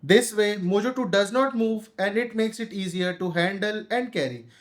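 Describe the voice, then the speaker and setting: A man's voice: sits high, moderate pace. A man with an Indian accent narrating a scripted audio gear review.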